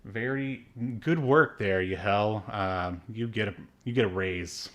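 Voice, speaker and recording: A man's voice: fairly low pitch, unhurried. A man hosting a podcast, chatting casually and rambling with frequent fillers. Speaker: podcast host. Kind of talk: casual chat.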